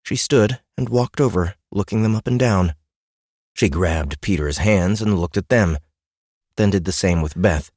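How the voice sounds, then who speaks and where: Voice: deep voice, a little slow, darkish tone, dry recording. An audiobook narrator reading scripted fantasy fiction in a studio. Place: dry studio.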